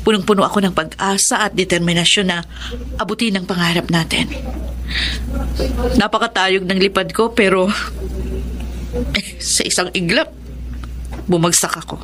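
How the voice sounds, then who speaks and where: A woman's voice: mid-range voice, steady tone, normal speed, long pauses, a crowd in the background, natural voice. A young woman acting a remorseful but hopeful older sister in a radio drama, with a Filipino accent and a heartfelt, confessional delivery. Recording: music bed under studio dialogue. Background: background music.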